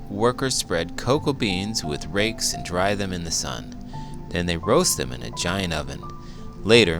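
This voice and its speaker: Male storybook narrator, expressive, hugely varied intonation, creaky low register, background music. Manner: expressive, big emotions. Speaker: teacher reading aloud a children's book. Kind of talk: animated children's read-aloud narration.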